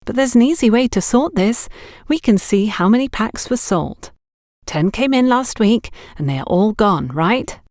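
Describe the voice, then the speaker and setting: Woman acting a defiant, high-voiced young woman. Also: lively intonation, normal speed, darkish tone, no echo, studio quality, lightly acted. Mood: defensive. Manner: defensive, argumentative.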